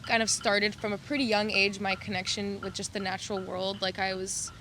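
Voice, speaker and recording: A young woman from Serbia, whose first language is Serbian, chatting as a podcast guest and telling stories in a rambling, animated way with many fillers. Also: medium pitch, fairly quick, slight background noise. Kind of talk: casual storytelling chat.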